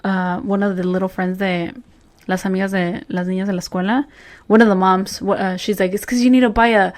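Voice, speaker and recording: Brief: forties woman, rambling talker, mid-pitched, trails off and hunts for words. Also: flat delivery, fairly quick. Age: 40s. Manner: rambling, speeds up in bursts, searches for words. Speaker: podcast co-host. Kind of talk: casual chat.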